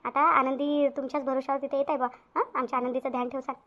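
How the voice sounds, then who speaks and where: An anxious, doting village mother played by a woman in a cartoon family story. She talks fast and high with a Vidarbha Marathi accent, pleading and saying things over again. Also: level tone, a bit bright. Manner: pleading, repetitive. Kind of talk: amateur cartoon story dialogue.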